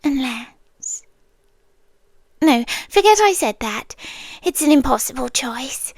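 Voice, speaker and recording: A woman's voice: high-pitched, lively intonation, slow, no echo, studio quality, exaggerated voice. A British woman playing a possessive, whispering guardian angel. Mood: soothing, possessive. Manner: soft, intimate, often whispered. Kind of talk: ASMR roleplay.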